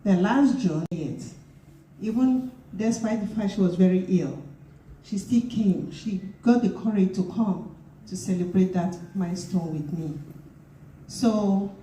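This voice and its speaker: A woman from Nigeria giving a slow, heartfelt tribute at a memorial gathering. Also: mid-range voice, warm tone. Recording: roomy hall mic from far off with background noise.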